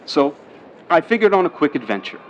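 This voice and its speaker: An actor playing an earnest, boyish young sailor son in a period radio drama, speaking in a halting way. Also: male voice, a little high, big pitch swings, lo-fi sound, a little hiss or hum. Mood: excited wonder. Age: young adult.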